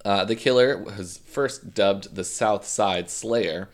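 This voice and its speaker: A man's voice: lowish voice, slow pace. A man co-hosting a casual true-crime podcast, joking and teasing, with a slight lisp. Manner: joking, teasing, swears freely. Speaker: podcast co-host.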